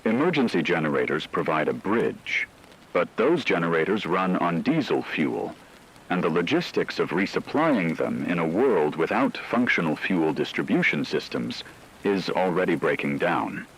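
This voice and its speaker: A man playing a grave, formal radio news anchor, reading an emergency broadcast in a measured, authoritative way. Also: deep voice, sing-song, slight background noise, rough recording. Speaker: news announcer.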